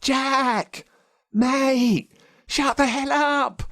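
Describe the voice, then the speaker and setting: A man's voice: extremely high pitch, steady tone, extremely slow, fairly bright tone, pitched above the performer's own voice, heavily acted. An Australian man voicing a frightened, scrappy young boy in a storybook, speaking with urgent pleading. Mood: urgent, panicked.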